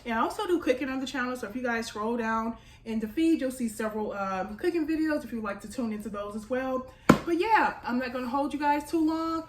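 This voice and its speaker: A woman's voice: high voice, a little fast. An American woman, chatty and informal with a congested nose, hosting a casual baking tutorial for her viewers. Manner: chatty, informal, addresses viewers as "you guys". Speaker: home baker and cooking channel host. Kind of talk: casual cooking tutorial chat. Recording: echoey room with faint background noise.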